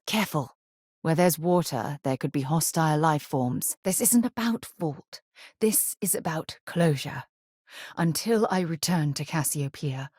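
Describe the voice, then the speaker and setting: A woman playing a composed, principled ex-military space explorer in a video game, articulate and measured with a dry wit. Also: sits low, a little mellow, no room sound. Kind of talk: video game dialogue acting.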